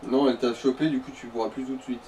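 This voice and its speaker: Male gaming companion, mellow dark tone; casual chat, off-mic with faint background noise. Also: mid-range voice, flat delivery, fast talker. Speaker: companion commenting on the game. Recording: distant mic, noticeable room noise.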